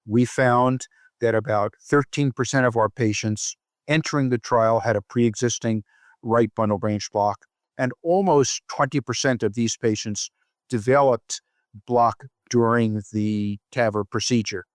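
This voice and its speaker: A man giving measured, formal explanations as an expert guest in a medical interview. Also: mid-range voice, dry recording. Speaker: guest, professor of cardiac electrophysiology.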